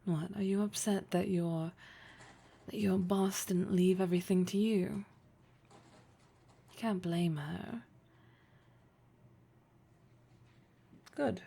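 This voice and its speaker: A woman playing a poised, flirtatious, domineering boss in a roleplay audio drama, speaking formally and with measured pauses in a teasing, condescending way. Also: medium pitch, fairly even intonation, creaky, mellow, breathy edge, exaggerated voice. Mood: teasing, challenging.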